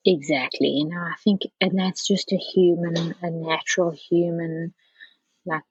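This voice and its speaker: A woman from South Africa speaking as an interview guest in a casual, halting way, often circling back with "you know". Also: lowish voice, steady tone, a little mellow, husky, no echo, lo-fi sound. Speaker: podcast guest, architecture professional.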